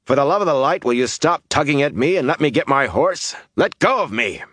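A stubborn young village man in a fantasy storybook, read by a male narrator, battered and gruff. His voice moves with lively pitch in a dry, close recording. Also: unhurried, dry recording. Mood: angry, demanding.

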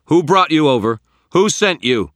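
A defiant wizard in an audiobook performed by a man, straining under effort. His voice is grating and forced high as he shouts. He barks demands with commanding force, in a close, dry recording. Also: animated, moderate pace, no echo. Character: embattled male wizard narrator, straining, defiant, sarcastic.

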